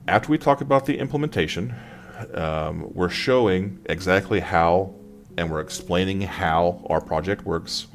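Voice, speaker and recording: A man's voice: deep voice, gravelly. A man presenting exam advice in an informal tutorial style, talking through slides.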